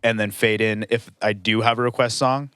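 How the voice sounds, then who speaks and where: A man's voice: fairly low pitch, steady tone, normal speed, bright, forceful tone, clear, resonant tone, no echo, studio quality. A man co-hosting a podcast, laid-back and agreeable, explaining DJ gear step by step in casual chat. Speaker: podcast co-host.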